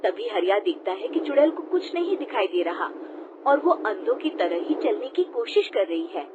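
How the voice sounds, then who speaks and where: A woman's voice: medium pitch, sing-song, normal speed. A woman narrating a scripted cartoon story as a storyteller. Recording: dull lo-fi, noisy background.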